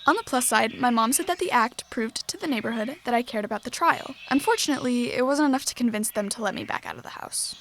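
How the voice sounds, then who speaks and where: A woman acts as a teenage girl activist with a bright-eyed, earnest streak and simmering frustration. Her voice sits fairly high and she rattles along conversationally, like someone recording a private diary for an audio drama. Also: quick pace, few pauses. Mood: determined. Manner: conversational, earnest, youthful phrasing.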